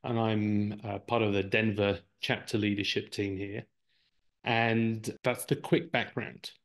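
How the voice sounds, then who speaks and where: A Brazilian-born man who speaks with an English accent and whose first language is Portuguese, explaining ideas at length as an interview guest. His voice sits fairly low and has a gravelly, creaky edge. He often circles back and repeats words while he finds his phrasing. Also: level tone, measured pace. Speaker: guest, marketing executive. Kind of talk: conversational interview answers.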